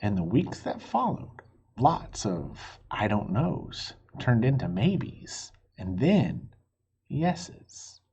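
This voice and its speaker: A man reading a picture book aloud to children in an encouraging, husky voice, pausing to explain and ask questions. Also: mid-range voice, very animated, darkish tone, lo-fi sound. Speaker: children's story reader.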